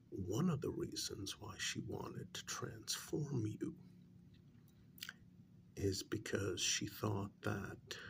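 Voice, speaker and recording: A man playing a calm clinical researcher in an ASMR role-play, speaking softly and gently in a breathy, creaky near-whisper with deliberate pauses. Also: lowish voice, noisy background, exaggerated voice. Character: calm clinical male researcher gently interviewing a werewolf patient.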